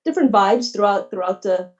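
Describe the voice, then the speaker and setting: A woman from Texas giving an informal, earnest and halting personal account as a panelist on a video call. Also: medium pitch, lively intonation. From Texas, United States. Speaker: panelist, athletic trainer. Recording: slightly dull video call audio.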